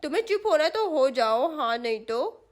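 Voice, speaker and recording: A woman's voice: sits high, very animated, normal speed. A woman voicing a sulky, scheming little girl in a story, whiny, pouting and bossy. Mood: annoyed, scolding.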